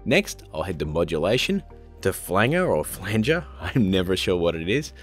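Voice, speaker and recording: A man's voice: deep voice, big pitch swings, moderate pace, soft background music. A man in his thirties hosting a step-by-step video tutorial in an upbeat, chatty, informal way, talking straight to viewers. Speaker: host, video tutorial presenter.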